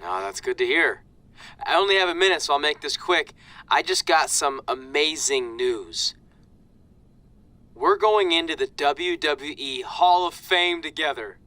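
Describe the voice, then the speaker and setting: An actor in a video game cutscene playing a cheerful, goofy retired wrestler who now hosts TV, with an excitable, playful manner. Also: male voice, fairly high pitch, a little slow, no echo. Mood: warm, pleased.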